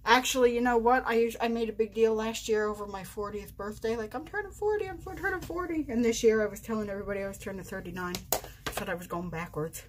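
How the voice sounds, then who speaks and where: A woman's voice: a little high, quick pace, a little hiss or hum, poor audio. A woman in her forties doing her makeup on camera in her bedroom, chatting casually, poking fun at herself, and talking through each step. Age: 40s. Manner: chatty, self-mocking, narrates each step. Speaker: vlogger. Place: bedroom at home.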